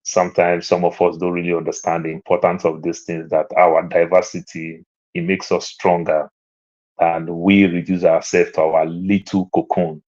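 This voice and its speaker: A man's voice: level tone, moderate pace. A man from Lagos with a Nigerian accent, speaking as a panelist in an online discussion and telling stories from his childhood, his voice rising when he drives a point home. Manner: storytelling, climbs higher and louder when making a point. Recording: dull lo-fi online audio.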